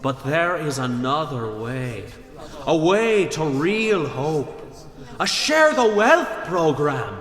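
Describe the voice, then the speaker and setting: An actor playing an impassioned working-class agitator giving a rousing political speech in a radio drama. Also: male voice, a little high, very animated, slow, a bit bright, full character voice. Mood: impassioned, defiant. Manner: impassioned oratory, rhetorical questions. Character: fiery working-class male demagogue rallying the poor. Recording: echoey hall with long reverb.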